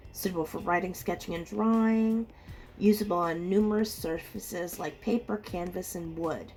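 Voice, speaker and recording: Low-pitched woman, voice a little rough. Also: darkish tone. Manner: chatty, reacts aloud with small exclamations. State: rough voice from being ill. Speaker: host of an art channel. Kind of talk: casual unboxing commentary.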